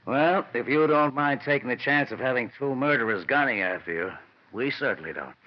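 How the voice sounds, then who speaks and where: An actor playing a gruff police lieutenant in an old radio detective drama, dry, laconic and wry. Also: male voice, mid-range voice, animated, a little fast, lightly acted. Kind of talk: 1940s-50s radio detective drama acting. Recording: dull vintage radio recording.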